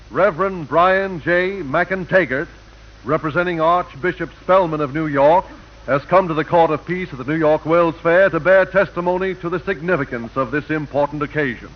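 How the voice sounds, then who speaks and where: A man acting as master of ceremonies, introducing speakers in a formal, oratorical 1940s radio announcing style. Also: a little high. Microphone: broadcast microphone. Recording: dull lo-fi 1940 radio broadcast.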